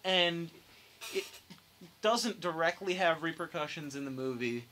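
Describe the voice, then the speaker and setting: A man's voice: on the high side, unhurried, pushed and bright. A young man chatting casually and emphatically as a panelist in a gaming discussion, on an amateur podcast recording. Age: young adult.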